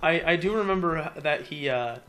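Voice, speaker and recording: A man giving casual video game commentary as the host, playful and often pausing to find his words. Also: fairly high pitch, animated, a bit bright. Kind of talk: casual Let's Play commentary.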